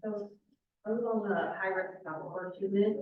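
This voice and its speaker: A woman's voice: medium pitch, steady tone, moderate pace, slight background noise. A woman in the audience commenting informally from her own work experience. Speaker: audience member, former anti-trafficking unit worker. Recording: muffled, echoey room, far from mic.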